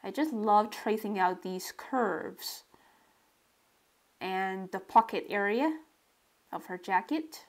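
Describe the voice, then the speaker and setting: Slow-talking woman teaching sketching. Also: medium pitch, expressive. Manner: step-by-step, describing as she draws. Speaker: sketching tutorial host. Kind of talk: relaxed narrated drawing tutorial.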